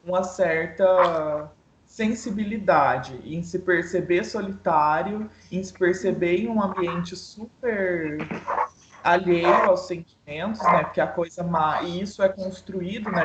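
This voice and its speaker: A woman in an online book club, talking through a short story in a thoughtful, analytical way with frequent restarts. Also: lowish voice. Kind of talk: casual literary discussion. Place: home, online video call. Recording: echoey room with noise.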